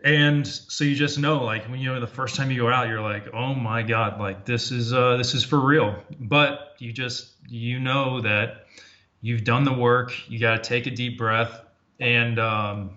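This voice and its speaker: A man talking as a podcast guest, reflective and casual, often pausing with fillers and restarting his sentences. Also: mid-range voice, fairly even intonation, pushed and bright. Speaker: podcast guest, former Army Ranger officer. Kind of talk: casual interview talk. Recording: dull lo-fi audio.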